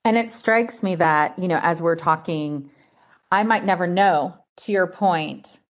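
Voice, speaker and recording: A woman's voice: fairly low pitch, measured pace. A woman interviewing someone in a conversational workplace style.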